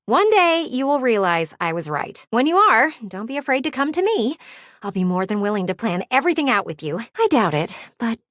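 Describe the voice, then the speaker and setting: An actress voicing a pushy, blunt talking window in a video game, with bossy, dramatic emphasis. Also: female voice, fairly high pitch, very animated, brisk, a bit bright, no room sound, clean studio sound. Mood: frank, firm.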